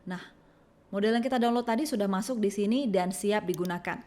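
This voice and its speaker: A woman presenting a scripted software tutorial in an instructional, step-by-step manner. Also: medium pitch, a little fast.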